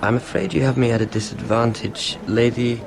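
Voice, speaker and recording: A man's voice: mid-range voice, steady tone, fairly quick, gravelly, a crowd in the background, lightly acted. A man playing a clumsy, meek nobleman in a fantasy game, hesitant and apologetic as he talks. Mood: bashful, hesitant. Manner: hesitant, apologetic, soft-hearted. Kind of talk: fantasy video game acting. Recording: music behind the dialogue.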